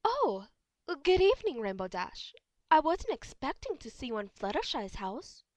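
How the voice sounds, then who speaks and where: A woman's voice: high-pitched, sing-song, moderate pace, a little mellow, dry recording. A woman voicing an elegant, theatrical, gossipy female cartoon unicorn pony. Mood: surprised. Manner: refined, effusive, theatrical. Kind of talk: amateur cartoon fan audio drama.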